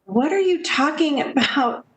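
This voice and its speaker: A woman's voice: high-pitched, lively intonation, measured pace, fairly bright tone, roomy sound, low-quality recording. A woman playing a sensible, protective friend in 1940s-style radio theater, coaxing and theatrical. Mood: concerned.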